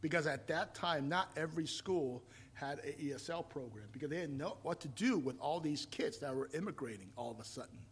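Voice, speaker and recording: A man in his fifties, from Taiwan, preaching a sermon and telling his own story, rising to loud emphatic points and falling back to quiet, reflective storytelling. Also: on the high side, brisk, hardly any pauses, slightly rough. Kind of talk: sermon with personal testimony.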